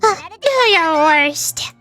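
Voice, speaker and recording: Woman, high voice, playful casual game commentary, thinking aloud, music in the background. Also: expressive, slow pace, pushed and bright. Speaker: streamer voice-acting along with a video game. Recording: music behind the voice.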